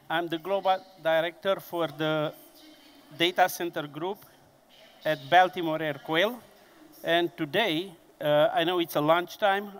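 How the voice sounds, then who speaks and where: A man in his fifties from Romania, speaking English with a Romanian accent as a non-native speaker, giving an industry conference presentation in a careful, halting way with frequent pauses and fillers. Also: fairly high pitch. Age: 50s.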